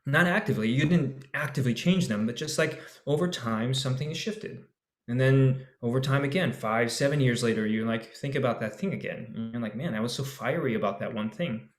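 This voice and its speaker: A halting, reflective man in an echoey room. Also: mid-range voice. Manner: halting, searching for words, many restarts. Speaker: actor in the play. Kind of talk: panel discussion, reflective.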